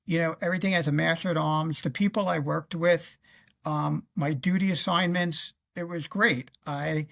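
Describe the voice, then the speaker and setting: A man in his fifties with a New York accent and a notably creaky, gravelly voice. He talks about his working life as an interview guest, in halting phrases with frequent pauses, from a room on a video call. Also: a little high, fairly even intonation, fairly bright tone. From Queens, New York. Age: 50s. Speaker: guest, retired federal law officer and navy veteran. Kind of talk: recounting career in an interview.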